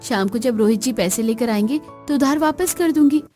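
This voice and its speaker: A woman's voice: on the high side, fairly quick, dark tone, faint music behind, lightly acted. A woman voicing a poor, earnest young daughter-in-law in a cartoon story, polite, pleading and determined. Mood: reassuring.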